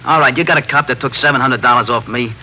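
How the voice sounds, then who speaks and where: An actor playing a nervy, talkative small-time drug pusher in a 1950s radio drama, rambling on in streetwise slang and justifying himself. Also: male voice, mid-range voice, fast, bright, forceful tone. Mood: bargaining. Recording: noisy 1950s radio broadcast recording with hum.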